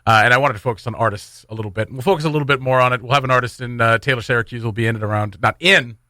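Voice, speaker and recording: A man's voice: medium pitch, rapid-fire, pushed and bright, no room sound, studio quality. A man hosting a morning radio show with casual, joking, rambling banter and announcements. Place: radio studio.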